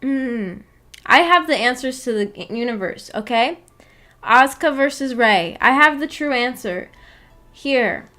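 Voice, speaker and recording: A woman with a fairly high voice chats casually as a livestream host. She is giggly and playfully teasing, quick to soften things with an apology. Her talk rambles and halts, sprinkled with "like" as she thinks aloud. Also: animated. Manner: rambling, halting, filler-heavy talk with frequent "like". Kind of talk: casual livestream chat with viewers about anime.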